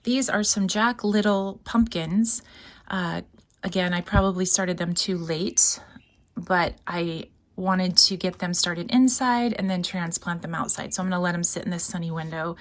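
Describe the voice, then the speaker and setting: A woman's voice: on the low side, fairly even intonation, moderate pace, dry recording. A woman hosting a gardening vlog, chatting casually and musing as she shows her garden. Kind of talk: casual garden tour chat.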